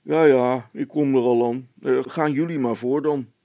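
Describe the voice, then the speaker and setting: Man doing a gravelly, blustering village constable. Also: medium pitch, fairly even intonation, a little slow, warm tone, dry recording, highs cut off, pitched above the performer's own voice, close to an everyday voice. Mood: blustering, uneasy. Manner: blustering, dismissive. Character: gruff, dismissive male village constable, blustering but secretly timid. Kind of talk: children's audiobook character voice.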